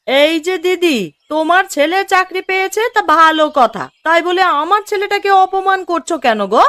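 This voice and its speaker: A woman's voice: very high voice, moderate pace, fairly bright tone. A woman voicing a touchy, indignant village mother in a cartoon story, complaining emphatically. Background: music.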